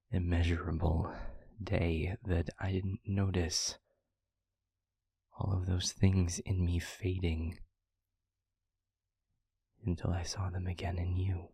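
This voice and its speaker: A man playing a gentle, weary demon in an intimate audio roleplay, with a soft, halting, breathy delivery that often nears a whisper. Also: sits low, monotone, no echo, exaggerated voice. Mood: tender, earnest. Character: gentle, weary male demon, tender and guarded.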